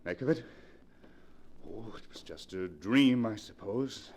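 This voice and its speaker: An actor playing a haunted, obsessed husband in love with a ghost, intense and melodramatic in 1940s radio style. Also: male voice, lowish voice, expressive, measured pace, a little mellow. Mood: dazed, dreamy.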